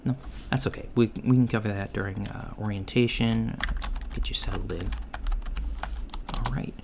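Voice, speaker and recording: Low, creaky, easygoing alien interviewer acted by a man. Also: fairly even intonation. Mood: friendly, matter-of-fact. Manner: halting, mumbly, casual, trailing off. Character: easygoing, reassuring alien job interviewer, male. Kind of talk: soft-spoken ASMR roleplay. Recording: lo-fi with clear background noise. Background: computer keyboard typing.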